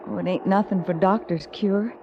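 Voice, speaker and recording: An actress playing a worried, loyal frontier wife with an American accent in an old western radio drama, folksy, earnest and plaintive. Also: female voice, mid-range voice, steady tone, moderate pace, muffled recording, close to an everyday voice. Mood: weary, confiding. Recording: noisy old radio broadcast recording.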